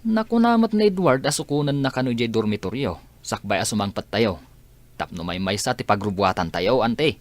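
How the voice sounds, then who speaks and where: A man's voice: mid-range voice, expressive, fairly quick, close to an everyday voice. A man playing an easygoing provincial young relative in a radio drama. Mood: practical. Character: easygoing provincial nephew guiding his relatives.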